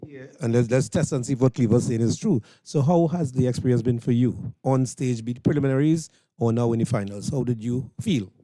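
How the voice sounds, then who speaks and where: A man's voice: mid-range voice, a little fast, dark tone, no room sound. A man with a Trinidadian accent hosting informal interviews with performers at an event. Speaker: interviewer and host.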